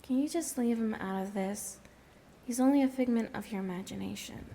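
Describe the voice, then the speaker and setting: A woman's voice: a little high, fairly quick, vocal fry, mellow, a little hiss or hum. A woman playing a weary, no-nonsense carer with a blunt, hesitant manner in naturalistic audio drama. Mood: guarded. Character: weary, no-nonsense woman tending an injured man.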